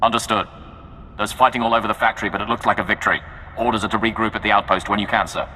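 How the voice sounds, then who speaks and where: A clipped, deferential soldier from a video game. He talks quickly with a forceful edge. There is long reverb and a faint rumble behind him. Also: fairly even intonation. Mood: brisk, dutiful. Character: brisk, dutiful male Imperial soldier. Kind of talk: military report in a video game.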